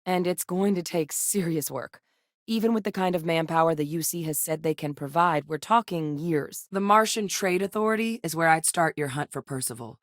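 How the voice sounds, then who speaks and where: A seasoned woman military scientist from a space sci-fi game, played by an actress. Her voice sits on the low side and is recorded dry and close. She speaks in measured, earnest lines with crisp authority. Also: dry recording, close to an everyday voice. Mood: serious, measured. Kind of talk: scripted game character dialogue.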